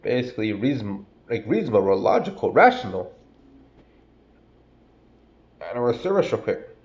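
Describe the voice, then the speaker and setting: A man's voice: mid-range voice, sing-song, fairly quick, darkish tone, roomy sound, dull audio. Stuffy-nosed man preaching in slangy bursts. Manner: slangy and profane, drawls "bro" and "man". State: stuffy, congested from a cold. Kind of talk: casual street-style sermon.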